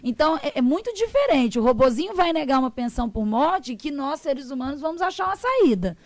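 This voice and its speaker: A woman's voice: sits high, big pitch swings, brisk, no echo. A woman lawyer on a talk show, explaining in a didactic way and acting out her clients' words. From Brazil. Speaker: social security lawyer, guest.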